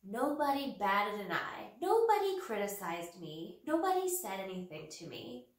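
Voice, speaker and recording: A woman's voice: mid-range voice, big pitch swings. A woman in her thirties, a little nasal from a cold, talking earnestly and hesitantly to the camera from a small home office. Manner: earnest, slow and hesitant with many fillers. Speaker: vlogger. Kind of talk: casual talk to camera. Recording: roomy, slightly distant camera audio.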